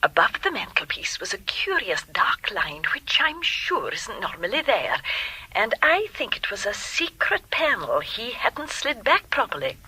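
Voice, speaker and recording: A plucky, conspiratorial English secretary in a radio play. Her voice is bright and heavily breathy, confiding eagerly and politely with lively lifts in pitch. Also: a little slow, exaggerated voice, poor audio. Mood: furtive, eager. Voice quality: breathy, hushed. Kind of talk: British radio drama acting.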